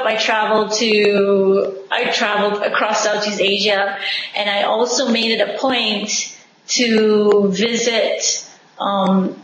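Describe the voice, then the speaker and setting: A young woman from Singapore talking as an interview guest over a video call from her living room, enthusiastic and expressive. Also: medium pitch. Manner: enthusiastic, expressive, laughs often. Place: home living room, indoors. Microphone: video call webcam. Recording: echoey room, faint background noise.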